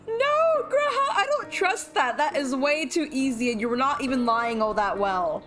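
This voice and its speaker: A woman streaming a game and chatting excitedly, sounding a bit nasal and repeating herself. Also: high voice, unhurried, pauses often. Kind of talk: casual gameplay commentary. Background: game music.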